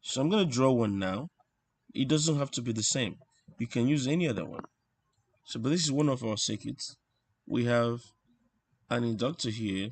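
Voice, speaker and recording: A man giving an informal technical lesson as he draws, explaining step by step in a halting way and thinking aloud. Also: medium pitch, lively intonation, breathy edge. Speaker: instructor presenting an electronics tutorial. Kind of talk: informal technical lesson, talking while drawing.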